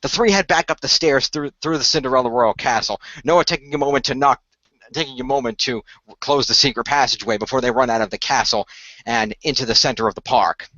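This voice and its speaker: A man's voice: mid-range voice, fast talker, pushed and bright, no room sound. Man narrating, dry and slightly muffled. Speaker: narrator and actor in an amateur radio play. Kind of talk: scripted story narration.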